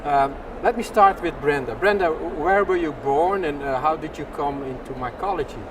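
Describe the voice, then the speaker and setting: A man's voice: on the high side, normal speed. An older retired man interviewing a couple, hesitant with many fillers and trailing phrases. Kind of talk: casual interview. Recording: distant mic, loud outdoor noise.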